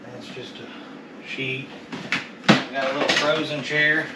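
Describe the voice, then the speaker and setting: A man's voice: mid-range voice, steady tone, measured pace. A man, chatting offhand as he sorts through a storage unit. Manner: drawling, offhand, calls things slick. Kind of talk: casual chat while unboxing. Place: metal storage unit, indoors. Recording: loud clanking background noise.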